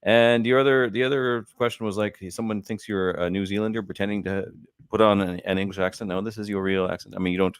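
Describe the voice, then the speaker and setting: Low, smooth-voiced male host. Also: fairly even intonation, no room sound, clean studio sound. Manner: halting, repeats his words. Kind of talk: casual interview talk.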